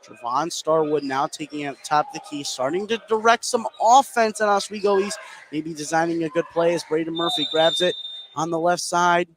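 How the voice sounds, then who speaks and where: A man's voice: a little high, normal speed. An American man giving live play-by-play radio commentary on a basketball game. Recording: clearly noisy gym ambience.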